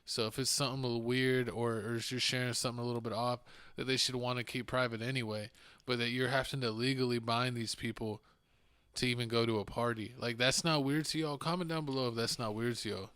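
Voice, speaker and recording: A man's voice: mid-range voice, fairly even intonation, fast talker, a bit bright, clear, resonant tone, no room sound. A man co-hosting a podcast, giving casual commentary in an incredulous, speculative manner. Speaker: podcast co-host.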